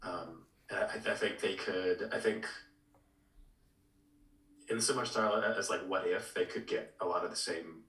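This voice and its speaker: A man chatting casually as a podcast co-host, halting and repeating words as he works out what to say. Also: low-pitched, fairly even intonation, fairly bright tone, distant mic. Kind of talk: casual chat, pitching ideas. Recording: roomy, echoey, away from the mic.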